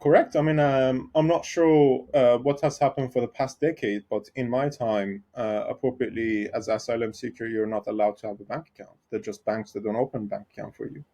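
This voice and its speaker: A man from Iran, a tech founder, talking through his story in a podcast interview, pausing often with ums and restarts. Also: mid-range voice, steady tone, warm tone. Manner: hesitant, many ums and restarts.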